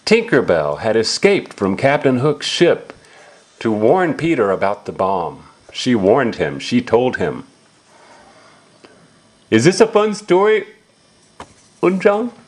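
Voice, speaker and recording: A man's voice: mid-range voice, huge pitch swings, slow pace, pauses often. A man reading a picture book aloud to a young child, asking simple questions and exclaiming as he explains.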